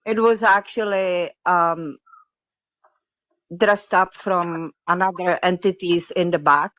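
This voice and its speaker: Slow, hesitant female caller from Europe. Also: fairly low pitch, fairly even intonation, a bit bright, no echo. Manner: slow, hesitant, broken English. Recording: dull lo-fi dry call.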